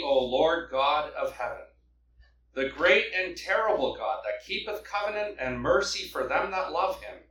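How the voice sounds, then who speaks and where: A man from Canada preaching, praying and reading scripture aloud with a loud open-air preaching voice, standing back from the microphone. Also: mid-range voice, slight background noise. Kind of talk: sermon with prayer and scripture reading. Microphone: microphone held back from the mouth. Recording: echoey hall, mic at a distance.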